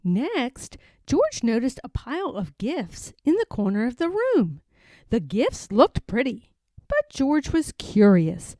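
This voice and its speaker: A woman reading a children's picture book aloud in an expressive, sing-song way. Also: fairly high pitch, slow pace, no room sound, studio quality. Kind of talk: storybook read-aloud.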